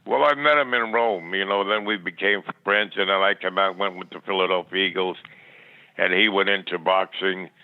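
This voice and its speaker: A man's voice: sits low, fairly even intonation, normal speed, no room sound. An older man reminiscing as an interview guest, plainspoken and rambling, often stammering and repeating words, over a thin phone line. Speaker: podcast guest. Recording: tinny, band-limited phone line.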